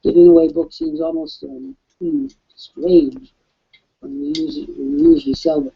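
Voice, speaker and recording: A raspy-voiced man hosting a live webcast and pitching an offer, emphatic like a salesman. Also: very animated, moderate pace. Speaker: webcast host. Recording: dull lo-fi webcast audio.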